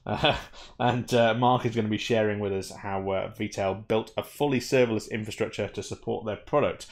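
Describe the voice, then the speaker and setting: A man in his forties hosts a live-streamed tech meetup with a slightly low voice. He talks chattily, with lots of uhs and ums. He drops in wry asides along the way. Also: fairly even intonation, normal speed. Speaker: meetup organiser and host.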